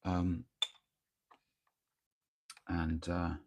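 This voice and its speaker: Croaky, gravelly man with a cold. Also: low voice, completely flat delivery, extremely slow, darkish tone. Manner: rambling, hesitant, with many ums and restarts. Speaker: miniature painter streaming live. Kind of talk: casual live-stream chat while working. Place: home workspace, early morning. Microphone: microphone near the speaker.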